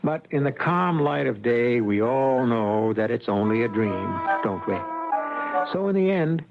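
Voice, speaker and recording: A man hosting an old radio drama, reading his script in a measured, wry and formal manner. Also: medium pitch, expressive, gravelly. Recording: dull old radio broadcast, music behind.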